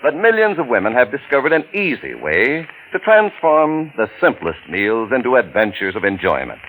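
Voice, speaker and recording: A man's voice: medium pitch, wildly swooping pitch, normal speed, fairly bright tone, slight background noise, rough recording. A man reading a 1940s radio advertisement as an announcer, polished and emphatic in his salesmanship. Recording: vintage radio recording, faint music.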